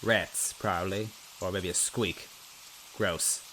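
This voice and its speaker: A man giving an expressive, conversational first-person narration as he reads a story aloud. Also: sits low, slow pace, a little hiss or hum, poor audio. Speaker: story reader.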